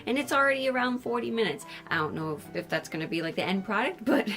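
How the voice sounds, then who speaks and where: A woman's voice: fairly high pitch, expressive, fast talker, fairly bright tone, soft background music. A woman hosting a sewing vlog, talking in an informal, candid and self-deprecating way as she chats and narrates her project. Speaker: sewing vlogger and host.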